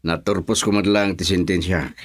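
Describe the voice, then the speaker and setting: A man's voice: deep voice, steady tone, normal speed. An actor playing an eager ex-convict henchman in an Ilocano radio drama, with a Filipino Ilocano accent. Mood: eager, sly.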